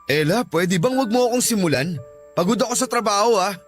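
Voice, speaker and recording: A man's voice: high-pitched, expressive, fairly quick, soft background music. A man with a Filipino accent playing a tired, defensive husband and father in a radio drama. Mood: defensive, irritated.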